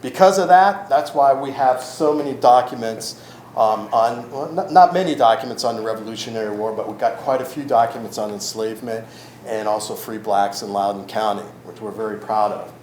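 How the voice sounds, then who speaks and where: Loud man speaking in an echoey room. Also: lowish voice, a little fast. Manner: loud voice, frequent ums and self-corrections. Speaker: county historic records manager giving a talk. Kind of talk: informal public talk. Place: event room in a historic house. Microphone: no microphone, room recording. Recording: roomy echo with faint ambient noise.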